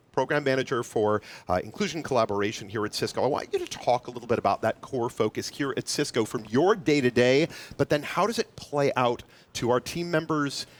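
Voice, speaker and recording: A man hosting a live event broadcast, upbeat and energetic as he steers the conversation. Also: medium pitch, slight background noise. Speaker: livestream host.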